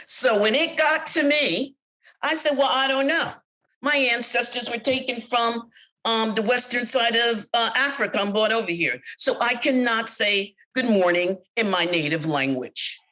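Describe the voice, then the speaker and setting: A middle-aged American woman recounting her work experiences in an interview, speaking candidly and growing animated when she quotes others. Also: on the high side, measured pace, rough recording. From United States. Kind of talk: personal account in an interview.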